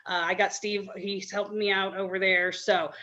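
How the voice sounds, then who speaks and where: A woman's voice: medium pitch, flat delivery, moderate pace, bright, forceful tone, lo-fi sound. A woman hosting a fan Q and A on a video call, giggly and enthusiastic. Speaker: host. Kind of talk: online fan Q and A.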